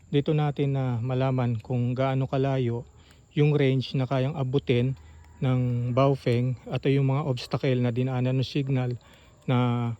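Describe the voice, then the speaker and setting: A Filipino man narrating a casual outdoor walk-through in an informal, halting way, as if thinking aloud. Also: medium pitch, mellow, muffled recording. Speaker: vlogger. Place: outdoors on a rural farm trail. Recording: outdoor ambient noise.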